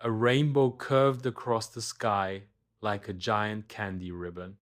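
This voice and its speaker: A man's voice: on the low side, flat delivery, slow pace. A man reading a scripted children's bedtime story as its narrator.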